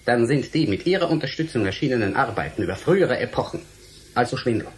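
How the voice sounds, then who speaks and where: A man's voice: medium pitch, lively intonation, fast talker, lightly acted. Radio play casting: male actor as principled young researcher, mid-range voice, defiant, articulate, slight ambient noise. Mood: challenging. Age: young adult. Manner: challenging, insistent, articulate. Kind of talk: German radio drama acting.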